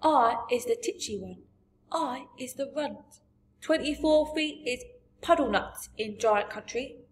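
A woman voicing a big, friendly male giant from a storybook, gentle and boastful, with muddled grammar and emphatic delivery. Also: high-pitched, expressive, unhurried, voice pushed up. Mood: emphatic, warning. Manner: garbled grammar, invented words, emphatic. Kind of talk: storybook character voice.

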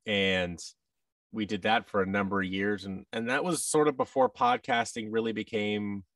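A man's voice: on the low side, lively intonation, moderate pace, gravelly, a bit bright, dry recording, studio quality, far from the mic. An American man in his thirties chatting casually as an interview guest, in a rambling, self-deprecating way. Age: 30s. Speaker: guest, actor and audio drama producer. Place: large indoor office room. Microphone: boom-arm microphone, headset, video call.

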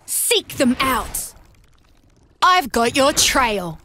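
A woman's voice: high-pitched, very animated, extremely slow, noisy background, full character voice. An actress playing a resolute, protective female warrior giving commanding lines in a video game. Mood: determined.

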